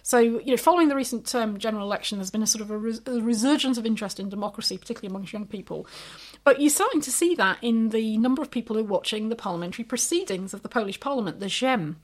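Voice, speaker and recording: A woman co-hosting a political podcast, explaining events briskly with a few fillers. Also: on the high side, lively intonation. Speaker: podcast co-host. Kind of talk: casual political chat.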